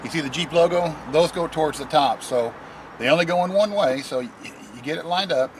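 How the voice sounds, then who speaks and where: A man's voice: fairly high pitch, fairly quick, background noise. A man presenting a DIY video, talking casually and in a folksy way through each step as he works in or beside a vehicle. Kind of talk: casual hands-on tutorial narration while working.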